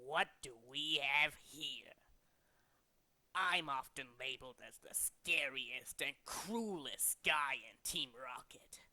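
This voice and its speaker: A man's voice: medium pitch, slow, bright, forceful tone, no echo, pitched below the performer's own voice. A man voicing a cold, menacing male gang executive in a video game, with a condescending, theatrical way of threatening. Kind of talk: video game villain character acting.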